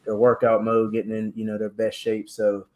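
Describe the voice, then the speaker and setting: A man hosting a casual chat about sports and faith, talking in halting bursts with many fillers and restarts. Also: on the low side, monotone, muffled recording. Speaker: podcast host.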